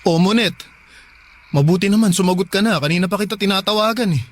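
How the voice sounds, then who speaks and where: Anxious Filipino husband, higher-pitched, acted by a man. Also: fast, a little hiss or hum, close to an everyday voice. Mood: concerned. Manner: fretful, urging, conversational. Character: anxious, protective provincial husband on a phone call. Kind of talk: naturalistic radio drama dialogue. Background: faint music.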